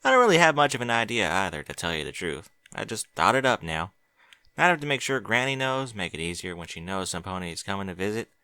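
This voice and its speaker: A man's voice: on the low side, very animated, fast talker, sharp tone, clean tone, no echo, full character voice. A man voicing an earnest young farm pony mare in a fan fiction audiobook, talking in a plain, folksy way. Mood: friendly.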